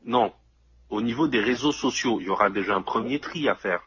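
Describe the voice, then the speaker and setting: A man's voice: medium pitch, a little fast, fairly bright tone, slightly rough, dull audio. A man in casual conversation, explaining things step by step and often checking that his listener follows.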